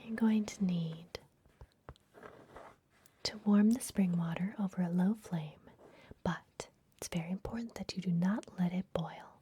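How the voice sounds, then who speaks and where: A woman playing a secretive, soothing vampire librarian in a fantasy ASMR roleplay, speaking in a soft, breathy whisper close to the microphone. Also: on the low side, slight background noise, lightly acted. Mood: soothing, instructive. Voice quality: breathy, mostly unvoiced whisper. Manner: soft, gentle, unhurried, confiding.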